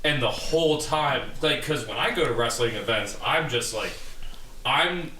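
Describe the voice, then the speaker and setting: Podcast host guy, rambly, says like a lot, bright voice, sounds kinda far off in an echoey room. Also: mid-range voice, expressive, normal speed, a little hiss or hum. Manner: rambling, filler-heavy, loud. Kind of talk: casual podcast chat. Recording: roomy, off-mic sound.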